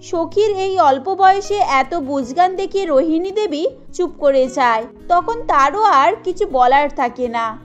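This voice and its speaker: Narrator: woman, very high voice, steady storytelling, soft music under it.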